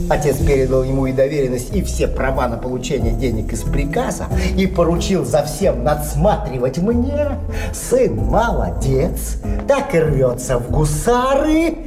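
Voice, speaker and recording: An actor playing a jovial, scheming card sharp in a theatrical audio play, expansive and hearty with drawn-out exclamations. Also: male voice, a little high. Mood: gleeful scheming. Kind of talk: theatrical radio play acting. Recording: roomy stage sound with music underneath.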